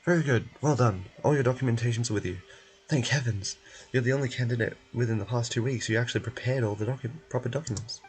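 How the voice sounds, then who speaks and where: A man's voice: mid-range voice, fast talker, close to an everyday voice, poor audio. A man voicing a fussy, wordy, coaxing male bureaucrat in a video game. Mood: approving, fussy. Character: fussy male bureaucratic clerk, pleased and pushy, nursing hurt leg. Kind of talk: video game character voice-over. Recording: music behind.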